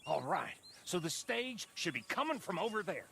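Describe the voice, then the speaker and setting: A man's voice: high voice, big pitch swings, normal speed, vocal fry, sharp tone, a little hiss or hum, heavily acted. A man voicing a bossy, easily offended ex-army outlaw with an American accent in a western video game. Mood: irritated. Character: hot-headed, bossy ex-army Old West outlaw man.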